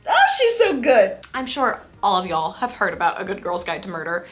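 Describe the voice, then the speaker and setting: A woman's voice: mid-range voice, huge pitch swings, a little fast, soft background music. A woman in her early twenties chatting casually in an emphatic, gushing way, as a book vlogger does. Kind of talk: casual chat to camera about books.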